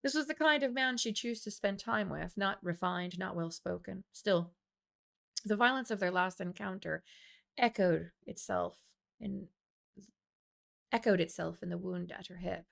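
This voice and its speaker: A woman reading her own fiction aloud as an expressive storyteller. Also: medium pitch, a little slow, creaky. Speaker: author reading own audiobook. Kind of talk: expressive scripted narration of fiction.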